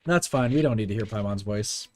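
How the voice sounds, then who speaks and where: A man's voice: fairly low pitch, a little fast. A man streaming a game, giving casual, off-the-cuff live reaction commentary.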